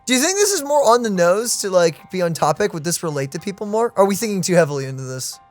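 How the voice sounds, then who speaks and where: A woman's voice: lowish voice, sing-song, quick pace, a little mellow, faint music behind. A woman streaming a game and giving casual, conversational reaction commentary.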